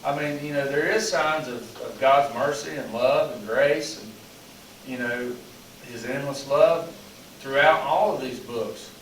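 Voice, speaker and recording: Hoarse pastor in echoey room. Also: male voice, mid-range voice, unhurried, a little hiss or hum. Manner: rambling, frequent "you know" fillers. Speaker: pastor leading a Bible study. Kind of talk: casual teaching and group discussion. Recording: echoey room, mic at a distance.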